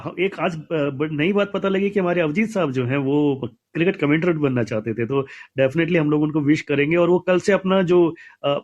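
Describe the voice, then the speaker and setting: Indian man hosting, in dull lo-fi audio. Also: a little high. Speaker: host of a film discussion show. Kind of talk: conversational panel hosting.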